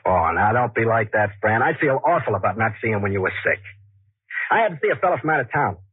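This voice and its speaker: A man's voice: on the low side, sing-song, fast talker, breathy edge, no room sound, exaggerated voice. An actor playing an evasive, hesitant young man confessing a troubled love affair in 1940s radio style. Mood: coaxing, apologetic. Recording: muffled 1940s radio recording.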